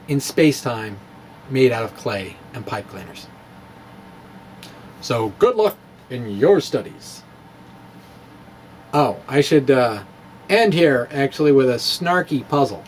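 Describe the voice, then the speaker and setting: A man's voice: on the high side, slight background noise. A man giving a dramatic reading of his own physics blog in a wry, playful way and laughing at his own jokes. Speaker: physics blogger.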